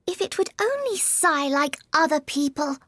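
A prim young storybook girl, played by a man, curious and a little impatient. The voice sits extremely high, shifted far up, with a close, dry sound. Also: very animated, normal speed, sharp tone, no room sound, exaggerated voice.

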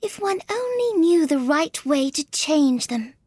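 A man's voice: very high voice, very animated, a little slow, a little mellow, no echo, clean studio sound, voice pushed up, heavily acted. A man voicing a prim, earnest little girl in a storybook reading, with polite, carefully proper speech and a scolding streak. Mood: musing.

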